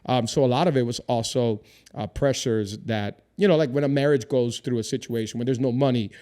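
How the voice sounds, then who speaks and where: A man's voice: mid-range voice, brisk. A man who is a lead pastor, hosting a casual interview, reflective and affirming, asking questions. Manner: warm, reflective, often affirms with "wow". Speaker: lead pastor and podcast host.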